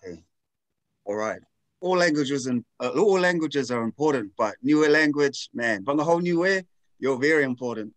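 A man's voice: mid-range voice, animated, normal speed, dry recording. A man with a mid-range voice talks as a podcast guest. His voice is recorded close and dry. He sounds earnest and candid, with a self-deprecating touch. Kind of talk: casual podcast chat.

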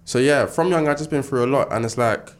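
A man's voice: mid-range voice, fairly quick. A nineteen-year-old man with a London accent chatting casually as a podcast guest, using slang and lots of filler tags. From born in Ghana, grew up in South London. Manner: slangy, frequent filler tags such as like and innit. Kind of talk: casual chat, personal testimony.

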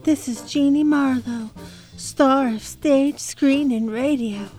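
Glamorous vintage radio diva played by a woman, high and leisurely, showy sales delivery for a 1930s commercial. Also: slow, darkish tone, soft background music, poor audio. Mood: showy sales pitch. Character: glamorous female star of stage and screen, selling furs.

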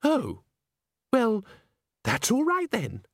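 Gushing, airheaded young female singer, played by a male narrator: high-pitched, bubbly, breathless, swooping storybook delivery. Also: wildly swooping pitch, very slow, gravelly, no room sound, clean studio sound, exaggerated voice. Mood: airy cheerfulness. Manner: breathless, exclaiming, cheerful. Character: gushing, girlish, empty-headed young woman singer.

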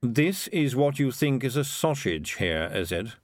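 A man voicing a menacing, disdainful piper in a storybook reading, speaking with slow, dry contempt. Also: medium pitch, big pitch swings, no echo. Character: cool, menacing, disdainful male piper feared by townsfolk.

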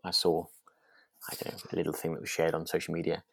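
Deep-voiced man chatting, dry sound. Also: monotone, fast, gravelly, a bit bright, no echo. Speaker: podcast co-host. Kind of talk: casual chat.

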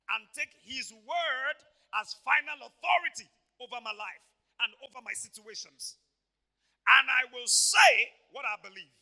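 A man preaching an energetic sermon in a church, swinging from quiet asides to shouted exclamations and acting out voices. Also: very high-pitched, gravelly. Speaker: pastor. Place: church hall.

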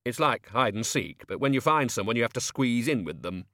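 A male narrator performs a pedantic wizard dean in a comic storybook. He explains things patiently and precisely, with lively pitch. The recording is dry. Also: fairly quick, no room sound, close to an everyday voice. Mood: sheepish. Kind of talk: audiobook character acting.

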